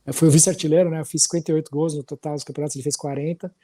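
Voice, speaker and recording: A man in his forties from São Paulo with a Paulistano accent, a musician telling stories as a podcast guest in a relaxed, rambling way. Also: on the high side, racing. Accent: Paulistano Brazilian Portuguese. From São Paulo, Brazil. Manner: relaxed, rambling, with frequent tag questions. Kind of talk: casual storytelling chat.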